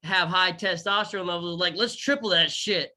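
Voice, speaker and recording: A man's voice: sits high, moderate pace, sharp tone. A man hosting a casual chat about arm wrestling, joking and bantering with friends.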